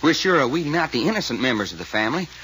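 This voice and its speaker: A man's voice: medium pitch, big pitch swings, racing, a bit bright. A man acting a blunt, slangy, hot-tempered folksy detective's sidekick in an old-time radio serial, on a noisy recording. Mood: puzzled, wry.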